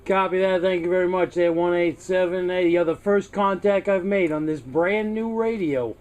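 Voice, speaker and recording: A man's voice: high voice, normal speed, darkish tone. An American man chatting casually and courteously in radio jargon as a hobbyist on CB radio.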